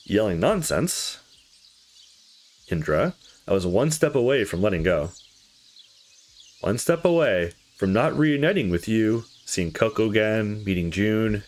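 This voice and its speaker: A man voicing a troubled, candid young visual novel character who confides past heartbreak in a halting, confessional way. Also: lowish voice.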